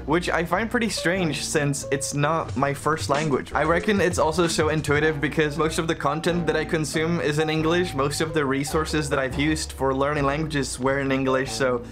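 Chatty young Czech man, slightly high voice. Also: moderate pace, few pauses. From Czech Republic. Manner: chatty and animated, self-deprecating jokes. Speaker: language vlogger. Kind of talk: casual scripted talk to camera. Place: small bedroom in Japan. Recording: music playing under the voice.

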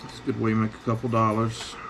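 A man's voice: on the low side, monotone, fast. A man giving a casual hands-on how-to, plain-spoken, talking in short phrases as he works at an indoor bench. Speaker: scrapping tutorial host. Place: indoor cluttered workbench. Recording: clearly noisy workshop ambience.

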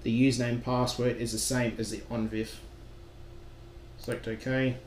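A man narrating a software tutorial as a trainer, giving plain step-by-step instructions in a roomy recording with some noise. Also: mid-range voice, flat delivery, measured pace. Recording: roomy echo with background noise.

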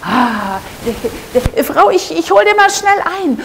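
A woman acts out a rude rich farmer from a fairy tale. He is greedy and excitable, blustering loudly and stumbling over his words when flustered. The voice often jumps high and rings with long reverb. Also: slow pace, creaky, a little hiss or hum, heavily acted. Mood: flustered, excited. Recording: echoey hall with long reverb.

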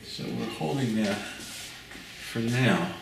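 An older man, giving a relaxed painting demonstration at his easel and thinking aloud with fillers and restarts. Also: medium pitch, extremely slow, gravelly. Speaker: painting instructor. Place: art studio at an easel, indoors. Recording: very echoey room, mic far off, audible noise.

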